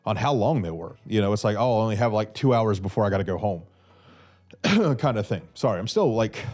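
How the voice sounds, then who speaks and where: A man's voice: on the low side, lively intonation, brisk, darkish tone. A man in his thirties from North Carolina hosting a podcast, answering listener questions in a casual, rambling way with lots of fillers, a little stuffy from a cold. He talks into a studio mic. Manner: rambling, many fillers and restarts. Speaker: podcast host. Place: small room with brick wall, seated in armchair. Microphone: red studio mic on boom arm.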